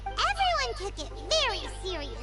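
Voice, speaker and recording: A woman's voice: very high-pitched, wildly swooping pitch, fairly quick, exaggerated voice. A woman voicing a tiny, feisty, childlike fairy companion in a video game, given to indignant exclamations. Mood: amazed. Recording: game dialogue over loud music.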